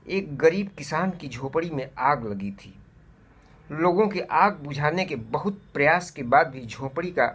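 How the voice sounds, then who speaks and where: Slow, husky male storyteller. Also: on the high side, a bit bright. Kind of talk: scripted moral story narration for children.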